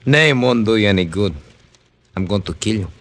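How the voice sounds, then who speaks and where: A man's voice: on the low side, expressive, moderate pace, fairly bright tone, dry recording. A man acting a callous gangster henchman in an old radio crime drama, taunting and threatening in clipped tough-guy talk. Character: menacing, callous male gangster henchman holding a gun.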